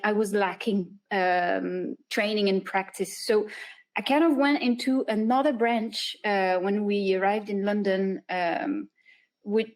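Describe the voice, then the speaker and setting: A woman, a guest chatting in an interview in a hesitant, thoughtful way and laughing often. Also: medium pitch. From France. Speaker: guest illustrator and animator. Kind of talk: casual interview chat.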